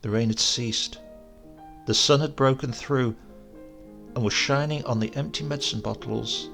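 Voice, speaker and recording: Creaky-voiced man reading a story. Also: mid-range voice, a bit bright, faint music behind. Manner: measured, descriptive storytelling. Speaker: audiobook narrator. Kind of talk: literary story narration.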